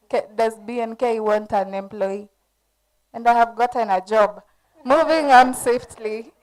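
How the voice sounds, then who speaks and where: A woman from Kenya moderating a conference panel. Her voice is fairly high, smooth and clear, and she keeps things moving briskly with a playful touch. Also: darkish tone, low-quality recording.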